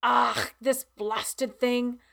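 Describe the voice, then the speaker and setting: A woman doing a gruff old Scottish farmer. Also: fairly high pitch, little pitch movement, extremely slow, fairly bright tone, full character voice. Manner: gruff, exasperated, blustery.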